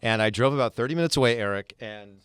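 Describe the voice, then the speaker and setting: A man in his thirties co-hosting a holiday podcast, excitable and chatty as he tells a story. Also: mid-range voice, fast, smooth, no room sound, clean studio sound. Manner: animated, excitable, lots of fillers. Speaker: podcast co-host. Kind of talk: casual chat, storytelling.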